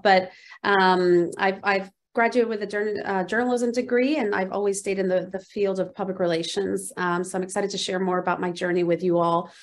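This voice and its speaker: Panel guest, woman in her 40s, originally from Venezuela; eager, filler-heavy video-call speech. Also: mid-range voice. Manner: enthusiastic, many "um" fillers. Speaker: panel speaker, PR director. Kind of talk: virtual panel talk. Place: office at home, indoors.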